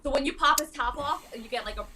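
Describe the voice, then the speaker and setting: A woman's voice: high-pitched, fast talker, noisy background. A woman chatting casually as a co-host and product reviewer. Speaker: co-host reviewing cannabis strains. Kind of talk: casual chat.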